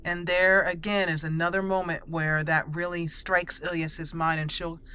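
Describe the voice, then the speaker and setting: Bright-voiced woman narrating a roleplay. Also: lowish voice, flat delivery, moderate pace, a little hiss or hum. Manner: thoughtful, earnest, explaining her character's thoughts. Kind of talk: roleplay narration and in-character dialogue.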